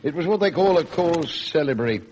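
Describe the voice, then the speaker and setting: A man playing an older, avuncular British police sergeant in a vintage radio drama, with a gruff, colloquial storytelling manner. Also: on the high side, animated, normal speed, a little mellow, muffled recording, lightly acted. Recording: noisy old radio recording.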